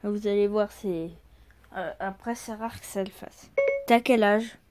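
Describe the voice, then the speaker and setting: A woman's voice: medium pitch, unhurried, background noise. A woman hosting a casual vlog, impatiently repeating the same question to a phone. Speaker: channel host.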